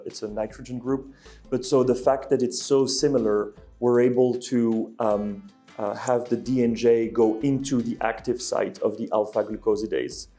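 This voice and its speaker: A man's voice: medium pitch, mellow. A man from Belgium, answering interview questions as a company executive, hesitant with many ums and restarts. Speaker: guest, ingredient company executive.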